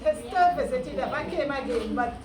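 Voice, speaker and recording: A woman's voice: high voice, fairly quick. A woman with a Trinidadian accent chatting casually, recalling relatives who came to visit the family. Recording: far off in an echoey room with loud crowd and noise.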